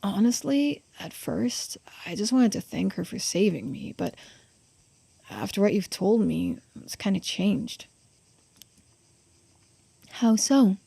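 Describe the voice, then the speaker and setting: Mellow-voiced woman reading game dialogue. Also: medium pitch, expressive, takes it slowly, vocal fry, pauses often, breathy edge, dry recording. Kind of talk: voiced playthrough of a visual novel, reading character dialogue.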